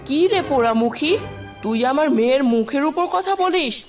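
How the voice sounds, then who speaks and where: A woman's voice: high voice, expressive, moderate pace, distant mic, faint music behind. A woman playing a cruel, bossy village stepmother in a cartoon fairy tale, scolding harshly. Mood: angry, threatening.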